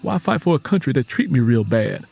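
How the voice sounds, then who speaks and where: A man's voice: medium pitch, big pitch swings, a little fast, vocal fry, darkish tone, slightly rough, soft background music, full character voice. Audiobook role, male narrator: aggrieved young man, plain speech, earnest questioning, mid-range voice. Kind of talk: audiobook character acting.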